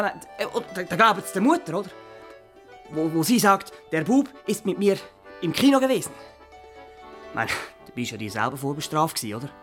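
A man acting in a radio drama as an opinionated, excitable small-town fellow who rambles, repeats himself and fishes for agreement. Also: high voice. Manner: rambling, repeats words, seeks agreement with tag questions.

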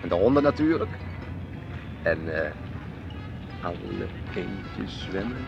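An actor playing a jocular, complacent married shopkeeper in a radio play. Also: male voice, sits low, takes it slowly, vocal fry, lo-fi sound, over music, heavily acted. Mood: cheerfully persuading. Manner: animated, placating, teasing.

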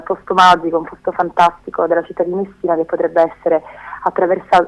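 Woman on the phone with bronchitis. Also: fairly low pitch. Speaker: phone-in guest, theatre occupation activist. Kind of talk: live phone interview. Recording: telephone line.

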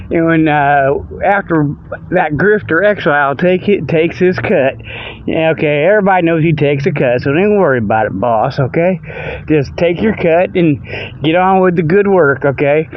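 A man's voice: fairly high pitch, a little slow. A man hosting a comic radio-style show, rambling in a folksy, ungrammatical way through mock sponsor reads and casual monologue. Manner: folksy, rambling, ungrammatical, peppered with "okay" and "you know". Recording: dull lo-fi audio with background noise.

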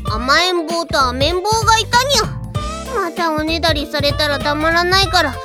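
A vain, lazy little alien cat-girl princess from an anime audio drama. The voice is high, childlike and cutesy, with a bossy, self-important delivery and cat-like verbal tics. Prominent music plays behind it. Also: normal speed. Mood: chirpy diary reading. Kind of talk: anime audio drama acting, reading a diary aloud.

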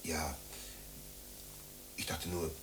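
An actor in a German radio drama playing a needy, self-pitying man who rambles haltingly and repeats himself. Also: rumbling low, steady tone, background noise, heavily acted. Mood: awkward, confiding.

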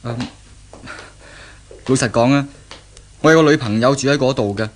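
A man's voice: mid-range voice, normal speed, close to an everyday voice. Reserved, guarded young man played by an actor. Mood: reserved, firm. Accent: Hong Kong Cantonese. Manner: formal, guarded, earnest. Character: reserved, polite young man, wary and loyal fiancé. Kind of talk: 1970s radio drama acting.

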